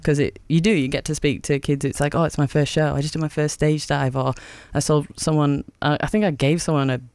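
A man's voice: a little high, little pitch movement, fairly quick, darkish tone, smooth, no echo. A man hosting an interview in a friendly, enthusiastic, slangy way. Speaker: radio host.

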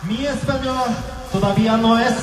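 A man, a native Korean speaker, talking slowly and carefully on stage, like a learner. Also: very high voice, steady tone, echoey room, heavy background noise. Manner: slow, careful learner's speech. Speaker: performer on stage. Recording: distant live concert clip with loud crowd. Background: screaming crowd and music.

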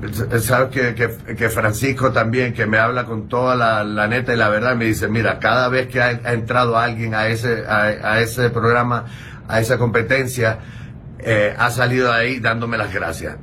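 A man's voice: mid-range voice, steady tone, moderate pace, bright, forceful tone, slight background noise. Puerto Rican man rambling with restarts. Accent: Puerto Rican Spanish. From Puerto Rico. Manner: rambling, many restarts and fillers. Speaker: interview guest. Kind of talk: casual chat.

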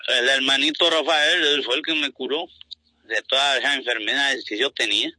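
A man from Honduras giving a spontaneous testimonial as a client, halting, repetitive and plain-spoken. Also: medium pitch, fairly even intonation, sharp tone.

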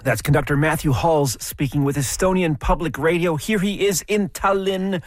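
A man hosting a classical music radio program, presenting in a measured, explanatory storytelling way from a studio microphone. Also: fairly high pitch, no echo. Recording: dry studio sound.

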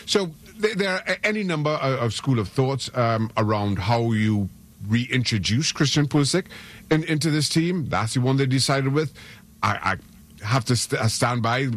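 A man's voice: medium pitch, lively intonation, moderate pace. A middle-aged man from Trinidad and Tobago, a soccer analyst on sports radio, speaking earnestly and often repeating words as he gathers his thoughts. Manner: earnest, frequent stammered repeats.

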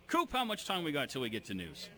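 A man hosting a casual sports radio broadcast on location. His tone is bright and forward. He talks easily and drops in sponsor reads and the text line number over a headset mic. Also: a little high, wildly swooping pitch, fast. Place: restaurant patio at a remote broadcast. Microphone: studio headset mic.

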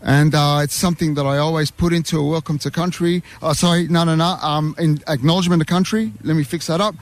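A man's voice: fairly high pitch, fairly even intonation, fast talker. A man, a talkative interview guest who rambles with lots of fillers at an outdoor community event. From Armenian heritage. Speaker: interview guest, youth worker. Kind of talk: casual chat.

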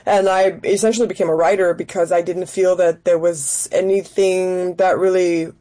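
A woman from Denmark, an actress and writer, answering interview questions in a thoughtful, measured way. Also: lowish voice, fairly even intonation, dark tone. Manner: thoughtful, measured, searching for words.

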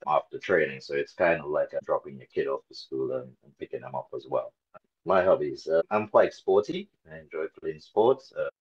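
A man in his forties from Trinidad and Tobago, talking casually as an interview guest, relaxed and a bit hesitant with many fillers. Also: extremely low pitch, slightly rough, muffled recording. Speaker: interview guest, dog carer volunteer.

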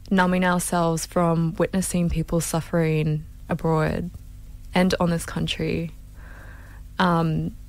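Slow, low-voiced woman radio host. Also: darkish tone, dry recording. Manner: measured and earnest, with some fillers. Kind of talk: slow, earnest radio hosting.

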